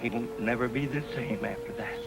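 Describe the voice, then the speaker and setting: A man's voice: mid-range voice, brisk, gravelly, loud music behind the voice, natural voice. An actor playing a regretful old miner grandfather in a TV Western, with a folksy, hesitant American drawl. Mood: dejected. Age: older. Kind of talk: 1950s TV Western acting.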